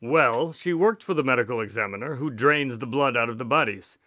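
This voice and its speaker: A man's voice: medium pitch, fairly quick, pushed and bright, no room sound, lightly acted. A man playing an earnest doctor in a radio drama, the detective's companion, explaining things in a storytelling way. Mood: explanatory.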